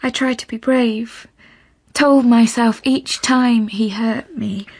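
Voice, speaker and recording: A woman's voice: a little high, steady tone, slow, darkish tone, breathy edge, dry recording, full character voice. A woman playing a suffering, earnest young wife, confiding sorrowfully in a radio drama monologue. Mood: wounded, brave. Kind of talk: intimate first-person dramatic monologue.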